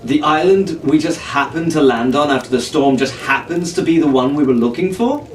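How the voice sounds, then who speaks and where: A man voicing a grim, formal and skeptical young gentleman sailor in an audiobook. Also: fairly high pitch, animated, moderate pace, close to an everyday voice. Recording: echoey room, distant mic, faint hiss.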